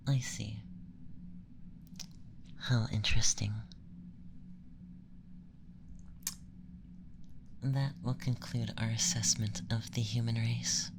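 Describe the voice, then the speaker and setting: A performer voicing an aloof, teasing alien femboy interrogator in a slow, deliberate, soft ASMR whisper. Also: mid-range voice, steady tone, gravelly, background noise. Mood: calm, soothing.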